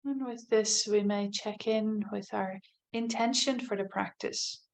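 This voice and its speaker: Gentle, unhurried woman guiding meditation. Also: mid-range voice, steady tone, creaky. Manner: gentle, unhurried, softly inviting, with long pauses. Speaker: meditation teacher. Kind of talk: guided body scan meditation.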